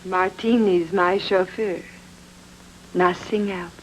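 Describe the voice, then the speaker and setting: An actress playing a guarded, sultry woman with secrets, speaking in formal, measured radio noir diction. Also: fairly low pitch, steady tone, a little hiss or hum, poor audio. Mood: cool, guarded. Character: poised, guarded, sultry femme fatale with secrets. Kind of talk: old-time radio noir acting.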